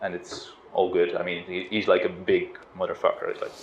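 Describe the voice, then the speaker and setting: Low-voiced man, roomy lo-fi sound. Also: a little fast. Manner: relaxed, hesitant, with restarts and soft laughs. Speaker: spiritual teacher. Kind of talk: informal satsang talk. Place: outdoors on a river boat. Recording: roomy, dull lo-fi sound with faint noise.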